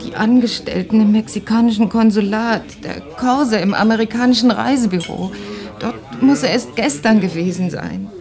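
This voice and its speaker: An actress playing a German refugee woman who searches for her husband, speaking in a weary, halting way that sometimes sinks to a whisper in a radio drama. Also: a little high, vocal fry, a little mellow, faint music behind.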